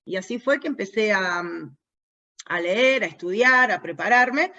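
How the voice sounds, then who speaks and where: A woman's voice: medium pitch, animated, measured pace, pushed and bright, highs cut off. A woman from the United States whose first language is English, speaking Spanish with an Argentine accent as an interview guest, reflective and often restarting her sentences. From United States (New Jersey). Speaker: guest, aviation safety specialist. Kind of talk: conversational interview.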